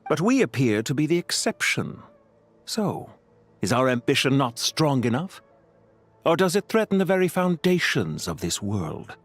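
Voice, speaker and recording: An actor playing a grandiose, condescending villain mastermind in a video game, speaking in a measured, theatrical way. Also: male voice, on the high side, sing-song. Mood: brooding ambition. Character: male villain, cool, grandiose, scheming mastermind commander.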